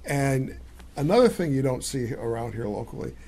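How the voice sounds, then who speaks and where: A man's voice: medium pitch, lively intonation, moderate pace, vocal fry, a little mellow, slight background noise. An older man hosting a cooking talk show, chatting enthusiastically with guests and rambling through restarts. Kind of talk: casual chat. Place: studio set, seated on leather couch.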